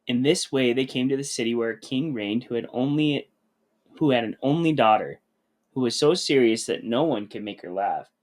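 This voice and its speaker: A man narrates a children's fairy tale from a script. His voice has an average, middle pitch and he reads as a storybook narrator. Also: moderate pace, a little mellow. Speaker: storybook reader.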